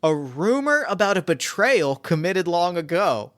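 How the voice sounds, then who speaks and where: Man, game master, excitable, laughs easily, higher voice, dry sound. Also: no room sound. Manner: excitable, quick to laugh, dramatic. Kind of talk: running a solo tabletop game, casual. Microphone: remote call mic.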